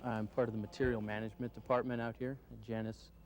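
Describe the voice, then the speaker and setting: A man's voice: medium pitch, flat delivery, quick pace, a little mellow, a little hiss or hum. A man making joking, mock-boastful remarks in a corporate promo interview. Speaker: materials manager.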